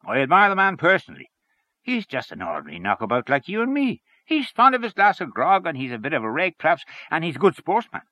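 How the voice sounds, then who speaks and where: Storybook canvasser, played by a male reader: opinionated, fierce, bright attack, pitch swinging widely. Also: huge pitch swings, quick pace, no room sound, heavily acted. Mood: warm, emphatic. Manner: fierce, emphatic, colloquial. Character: Henchy, blustering, opinionated Irish male political canvasser.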